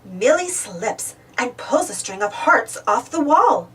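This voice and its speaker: A woman reads a children's storybook aloud at a slow pace, with a high voice and very animated intonation. She is recorded slightly away from the mic. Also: husky, distant mic, rough recording. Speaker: storybook narrator. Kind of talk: scripted children's read-aloud narration.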